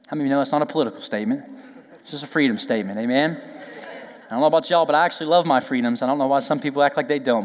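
A male pastor preaching a lively, joking sermon, then leading prayer and worship while losing his voice. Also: on the high side, long reverb tail. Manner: lively, joking, emphatic preaching with call-and-response asides. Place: church sanctuary.

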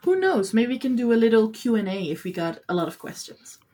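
A woman's voice: on the high side, big pitch swings, mellow. Bubbly woman podcast co-host. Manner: bubbly, encouraging, quick to laugh. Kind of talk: casual chat.

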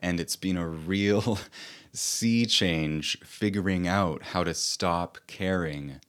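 Slow, deep-voiced reflective man. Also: a bit bright. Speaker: author and host. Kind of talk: reflective personal talk.

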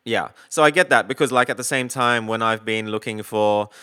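A man's voice: on the low side, normal speed, sharp tone, clean tone. A man chatting casually on a call about recording gear, rambling with lots of fillers and the odd swear. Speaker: podcaster on a video call.

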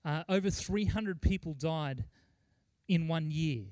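A middle-aged man preaching as a pastor, speaking earnestly and emphatically, with deliberate pauses and repeated phrases. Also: fairly high pitch, a little mellow, no echo. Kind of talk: sermon with mission report and scripture reading.

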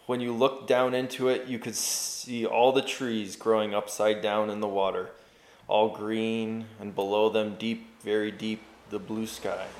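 A man's voice: fairly low pitch, little pitch movement, a little slow, roomy sound, close to an everyday voice. A man voicing a tormented enchanted knight bound in a chair, pleading in grand old-fashioned speech and rising to frenzy. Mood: despairing.

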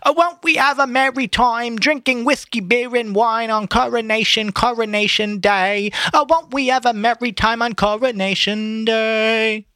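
A man voicing a boisterous, mocking, theatrical young man from a novel, loud and exclaiming. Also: high voice, huge pitch swings, a little fast, husky, no echo, studio quality, voice pushed up, heavily acted. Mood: gleeful. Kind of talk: dramatic novel reading.

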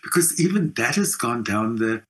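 A man's voice: mid-range voice, lively intonation, normal speed. South African man in his sixties, emphatic. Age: 60s. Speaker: interview guest, writer and performer. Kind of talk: reflective conversational interview.

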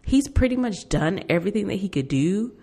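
A woman's voice: medium pitch, expressive, fairly quick, warm tone, slightly rough. An American woman co-hosting a podcast in casual conversation, with informal, slangy phrasing. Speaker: podcast co-host. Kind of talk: casual chat.

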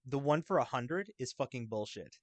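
A man's voice: mid-range voice, animated, normal speed, no room sound. A man in a casual chat about a video game, eagerly explaining details at length.

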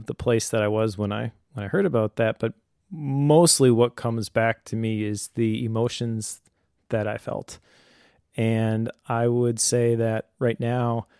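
Man, mid-pitched and mellow, measured and reflective. Conversational advice for a podcast, dry sound. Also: smooth, no echo. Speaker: podcast co-host.

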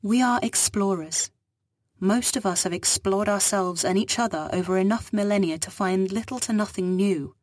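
A woman's voice: mid-range voice, no echo, studio quality, voice pushed up, natural voice. A woman voicing a frightened, ancient digitized alien woman who pleads for asylum in an afraid, breathless way. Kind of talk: audio story dialogue.

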